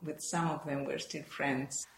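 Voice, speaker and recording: A woman from Peru with a Peruvian Spanish accent speaks in a low, unhurried voice. She is thoughtful and often pauses, restarts or adds fillers. The recording is roomy and echoey, with faint noise behind her. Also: flat delivery, gravelly. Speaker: guest, Spanish professor. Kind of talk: conversational interview.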